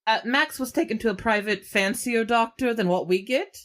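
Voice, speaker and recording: A woman's voice: fairly high pitch, normal speed. A woman playing a tabletop role-playing game, improvising narration and lines for her character in a casual way.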